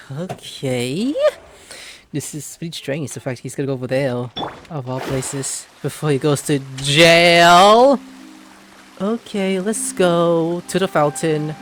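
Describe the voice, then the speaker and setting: A man's voice: on the high side, takes it slowly, fairly bright tone, soft background music. A man giving casual, rambling gameplay commentary, mumbling and swearing freely. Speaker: gamer.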